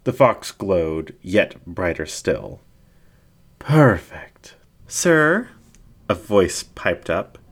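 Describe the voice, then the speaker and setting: A man's voice: deep voice, slow, vocal fry, hardly any pauses, breathy edge. A man reading a scripted story aloud as a dramatic narrator.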